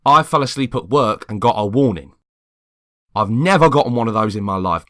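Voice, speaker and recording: Exasperated, sleep-deprived man acted by a reader, loud. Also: medium pitch, expressive, a little fast, no room sound, clean studio sound, pitched above the performer's own voice, close to an everyday voice. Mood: aggrieved. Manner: exasperated, complaining, emphatic. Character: exhausted, irate neighbour driven to breaking point. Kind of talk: dramatised short story reading.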